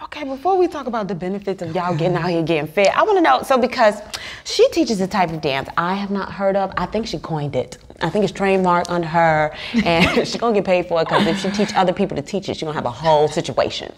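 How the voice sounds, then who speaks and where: Bubbly, lively woman host. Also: on the low side, fast, slight background noise. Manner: bubbly, laughs often, enthusiastic reactions. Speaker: interview host. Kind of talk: casual interview.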